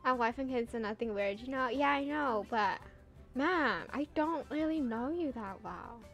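A woman's voice: on the high side, animated, moderate pace, a bit bright, highs cut off, faint music behind. A young woman streaming a game, chatting casually and animatedly with her viewers, laughing often and trailing off into filler words. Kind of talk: casual gameplay chat with chat readers.

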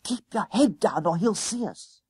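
A man voicing a bossy, excitable schoolboy ringleader in an audiobook, speaking in urgent, conspiratorial bursts with old-fashioned slang. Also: sits high, normal speed, husky, no echo, studio quality, pitched above the performer's own voice, exaggerated voice. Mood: alarmed, urgent.

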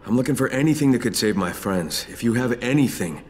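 A man acting a video game hero, an earnest and dogged outsider. He talks plainly at a middling pitch, with music behind him. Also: expressive, fairly quick, a little mellow, lightly acted. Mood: earnest, puzzled. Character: earnest male stranger seeking medicine, dogged and plain-spoken.

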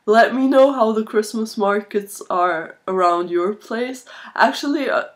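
A woman in her twenties chatting casually to camera in a halting, thoughtful way, recorded on a laptop microphone in a small room. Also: a little high. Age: 20s. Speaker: video blogger, art student. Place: small furnished room indoors. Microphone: laptop built-in microphone at arm's length.

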